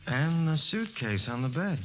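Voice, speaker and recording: A man's voice: on the high side, very animated, a little slow, low-quality recording. A man playing a no-nonsense police lieutenant in 1940s radio drama, firm, curt and skeptical. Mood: stern, suspicious.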